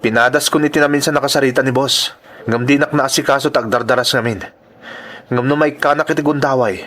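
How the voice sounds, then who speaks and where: A man's voice: mid-range voice, steady tone, fairly quick, a bit bright, natural voice. A man playing a young male worker who speaks out earnestly and indignantly against unfair treatment. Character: young male migrant worker standing up for coworkers. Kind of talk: audio drama acting.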